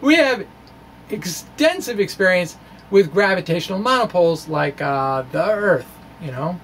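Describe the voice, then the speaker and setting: A middle-aged American man giving an informal physics lecture to camera in a small room, explaining haltingly with trailing asides into a microphone on a stand. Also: high-pitched, animated, a bit bright, slight background noise. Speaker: physics video blogger. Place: small indoor room with bookshelves. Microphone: microphone on a stand close in front.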